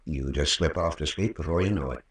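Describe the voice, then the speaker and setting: An actor playing a folksy, patient, long-winded country doctor with a rural American accent in an old-time radio drama. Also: male voice, very deep voice. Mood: soothing. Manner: folksy, unhurried, patient storytelling. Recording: roomy, distant old radio recording.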